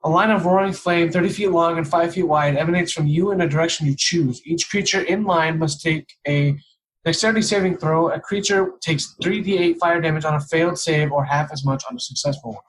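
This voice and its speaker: A man's voice: on the high side, a little fast, background noise. A man chatting casually as a player in a tabletop game, blunt and slangy, with some swearing.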